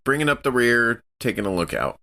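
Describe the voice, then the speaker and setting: A man's voice: medium pitch, very animated, a little fast, vocal fry, sharp tone. A man playing a tabletop role-playing game, chatting casually as he describes his moves and reads out rolls.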